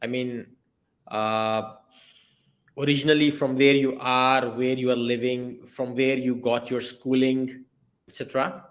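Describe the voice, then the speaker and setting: Brief: male podcast host, slow and unhurried, halting formal phrasing. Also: medium pitch, flat delivery. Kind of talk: hosting an interview.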